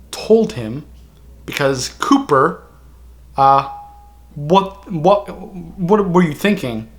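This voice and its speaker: A man hosting a casual reaction discussion, talking in a halting, stunned, exclamatory way. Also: medium pitch. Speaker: host of a reaction video channel.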